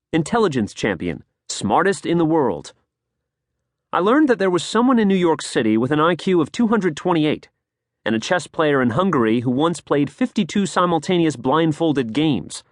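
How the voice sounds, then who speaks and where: An American man reading a nonfiction audiobook in a polished, expressive storytelling manner, close to a studio microphone. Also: fairly high pitch, dry recording. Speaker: audiobook narrator. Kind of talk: scripted nonfiction audiobook narration.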